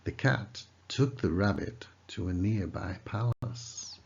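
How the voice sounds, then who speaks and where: Slow, expressive male storybook narrator. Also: lowish voice, gravelly, highs cut off, a little hiss or hum. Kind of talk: scripted children's story narration.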